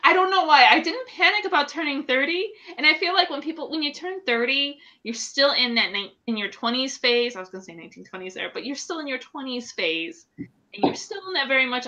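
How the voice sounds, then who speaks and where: A woman in her late thirties, co-hosting a casual chat podcast over a video call, candid and quick to laugh. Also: on the high side, pushed and bright. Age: late 30s. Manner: candid, laughing, swears freely. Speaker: podcast co-host. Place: home room at night. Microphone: video call webcam. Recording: dull lo-fi webcam audio.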